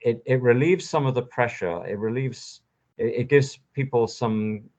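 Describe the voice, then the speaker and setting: A man's voice: mid-range voice, a little slow, darkish tone. A man hosting a conversational interview, giving emphatic, impassioned opinions. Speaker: podcast host. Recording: dull lo-fi audio.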